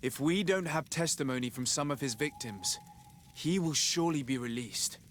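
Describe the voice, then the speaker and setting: An actor playing a composed, formal noble envoy who asks polite, pointed questions in a fantasy game. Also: male voice, on the high side, animated, normal speed, fairly bright tone, a little hiss or hum. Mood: earnest. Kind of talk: scripted fantasy game acting.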